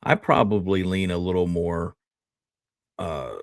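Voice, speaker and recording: A man co-hosting a casual history discussion, speaking in a reflective, measured way and qualifying his opinions. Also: low-pitched, creaky, no echo, studio quality. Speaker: co-host of a history talk show.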